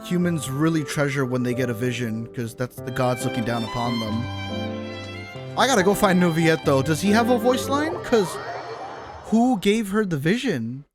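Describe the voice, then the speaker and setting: A man's voice: a little high, expressive, normal speed. A man streaming a game live, chatting casually and enthusiastically, rambling and repeating his phrases. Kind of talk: casual livestream chat and reaction. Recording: stream with game music behind. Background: game music.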